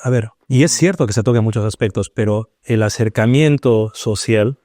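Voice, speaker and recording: A man from Peru, a guest on a studio panel, talking through his thoughts slowly with many pauses and restarts. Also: on the low side, mellow, dry recording. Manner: searching, hesitant, full of restarts and pauses. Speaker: panel guest, paranormal researcher. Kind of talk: reflective round-table discussion.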